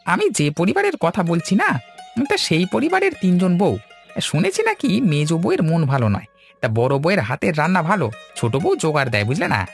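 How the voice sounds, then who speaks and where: A man's voice: high-pitched, sing-song, fast talker, creaky, full character voice. A man voicing a scheming, deferential village servant in a cartoon, wheedling his master. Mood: deferential, confiding. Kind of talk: Bengali cartoon voice acting. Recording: plucked-string music behind the voice.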